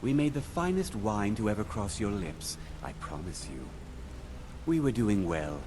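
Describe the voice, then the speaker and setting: An actor playing a suave, thrill-seeking male thief in a video game, talking in a smooth, confiding way. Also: on the low side, sing-song, unhurried. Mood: confiding, wistful. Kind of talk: scripted game dialogue. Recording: clearly noisy background under game dialogue.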